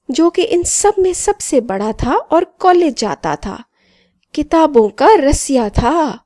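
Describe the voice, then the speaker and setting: Woman voicing a high-pitched storybook talking mobile phone. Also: no echo, studio quality. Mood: warm recounting. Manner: expressive, didactic storytelling addressed to children. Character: talking mobile phone, male, friendly, boastful, moralizing to children. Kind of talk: scripted children's story narration.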